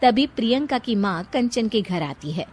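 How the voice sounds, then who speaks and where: Female narrator for scripted moral tales, voice a little higher than average. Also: expressive, normal speed, heavy background noise.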